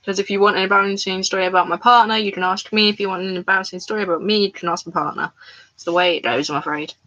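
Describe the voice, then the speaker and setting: A woman's voice: medium pitch, fairly even intonation, racing, bright, forceful tone, muffled recording. A woman chatting casually on a live stream while doing crafts at home. She rambles and talks straight to the viewers in her chat. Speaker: crafts livestreamer. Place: at home, indoors.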